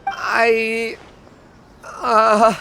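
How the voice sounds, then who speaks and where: A woman's voice: fairly high pitch, completely flat delivery, drawn-out words, gravelly, sharp tone, clear, resonant tone, exaggerated voice. An actress playing a polite, anxious female drink seller in a video game, careful and hesitant before her ruler. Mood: flustered. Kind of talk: English video game voice acting. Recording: music behind the voice.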